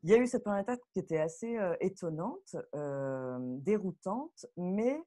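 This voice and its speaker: A woman audio fiction director explaining her craft off the cuff in a live online talk, halting and animated as she thinks aloud. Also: medium pitch. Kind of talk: spontaneous spoken explanation at a live online masterclass. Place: bedroom, on a video call.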